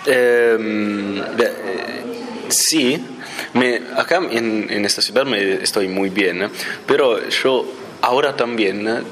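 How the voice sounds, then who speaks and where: Italian-born exchange student, young male, halting delivery, slightly low pitch. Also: crowd noise. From Italy. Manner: hesitant, searching for words with fillers. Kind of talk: interview answers.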